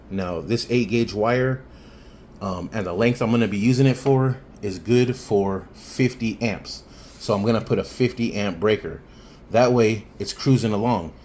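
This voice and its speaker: A man's voice: fairly low pitch, a little mellow, a little hiss or hum. A man talking through a hands-on tutorial in an informal, plainspoken way, thinking aloud as he explains. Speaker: hobbyist installer giving a how-to. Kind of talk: casual hands-on tutorial talk.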